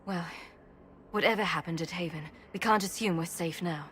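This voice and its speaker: A woman's voice: on the low side, normal speed, fairly bright tone, slight background noise, natural voice. An actress playing a proud, defiant, grimly determined woman warrior in a fantasy video game. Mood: wary, wry.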